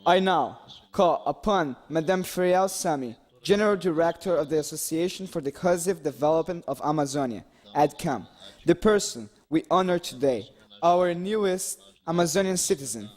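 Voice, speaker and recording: A man's voice: fairly high pitch, normal speed, a little mellow. Man formally announcing an honoree. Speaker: ceremony announcer.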